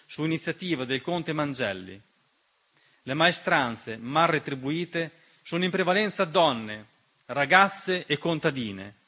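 A man delivering a scripted radio theatre monologue, measured and dramatic, with deliberate pauses. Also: on the high side, pushed and bright, husky. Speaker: stage storyteller and narrator. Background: piano music.

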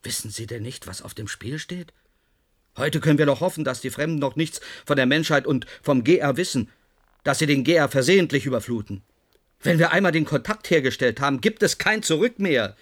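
An actor playing a stern, sceptical doctor in charge, imperious and probing, in a German radio drama. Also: male voice, on the high side, lively intonation, fairly quick. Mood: stern, alarmed.

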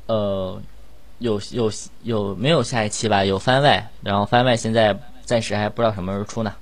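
A man's voice: lowish voice, fairly even intonation, brisk. A man hosting a casual group chat in an informal, rambling way, often repeating his phrases. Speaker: host of a cast chat session for an audio drama. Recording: music playing behind the voice. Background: background music.

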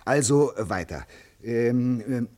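An actor playing a fussy, hesitant newspaper editor-in-chief in a radio drama, with a halting, hemming delivery. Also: male voice, medium pitch, lively intonation, mellow. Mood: distracted, curious. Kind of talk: German radio drama acting.

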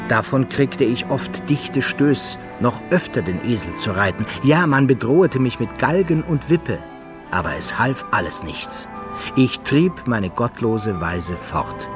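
An actor playing a roguish, godless musketeer in a German radio drama, with a husky voice and archaic phrasing. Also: male voice, medium pitch, lively intonation, measured pace, over music, natural voice. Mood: reflective, confessional. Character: roguish, godless musketeer recounting his wretched life. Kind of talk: German radio drama acting, archaic first-person narration.

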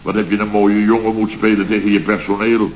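An actor playing a blustering, aggrieved middle-aged boss of a building firm in a sarcastic, grumbling radio comedy style. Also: male voice, lowish voice, fairly even intonation, brisk, darkish tone, lightly acted. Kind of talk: Dutch radio comedy acting, circa 1970. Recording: dull, noisy, roomy old radio recording.

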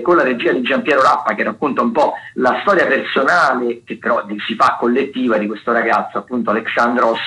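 Bright-voiced man. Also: medium pitch, poor audio. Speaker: theatre critic with a radio events slot. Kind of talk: chatty spoken listings review.

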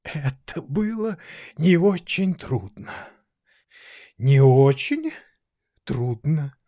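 An actor playing an excitable, sentimental elderly maestro pianist in an audio drama, theatrical and halting. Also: male voice, fairly high pitch, no room sound, studio quality, heavily acted. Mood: moved, shaken.

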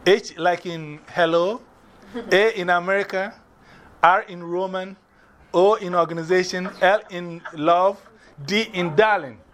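Ghanaian guy teaching a class, higher voice, lively, faint room noise in the back. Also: measured pace. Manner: lively, repeats and spells out words, laughs often.

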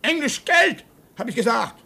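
An actor playing a slippery, lying ship's engineer in a radio play, with a shrill scratchy voice and snappy delivery. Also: male voice, sing-song, normal speed, creaky, sharp tone, husky, exaggerated voice. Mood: sly, snappy. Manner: snappy, slippery, hair-splitting. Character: weaselly, brazenly lying male ship's second engineer. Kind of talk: German radio play acting.

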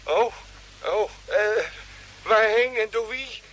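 Bright-voiced, inquisitive space crewman. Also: high-pitched, takes it slowly. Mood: questioning. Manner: questioning, colloquial. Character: inquisitive, plain-spoken male astronaut crewman. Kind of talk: old Dutch science fiction radio drama acting. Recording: noisy old radio drama recording.

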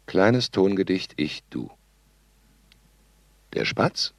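Deep, terse sound artist. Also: male voice, animated, creaky, no echo, clean studio sound, full character voice. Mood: tender, poetic. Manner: deliberate, terse, fragmentary phrases. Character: eccentric male sound artist, cold commentator and tender poet. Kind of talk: clipped tape announcements and spoken sound poetry.

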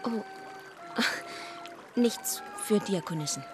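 An actress playing a discreet, thoughtful deaconess who speaks in short phrases in a radio play. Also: female voice, medium pitch, animated, slow, over music. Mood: embarrassed.

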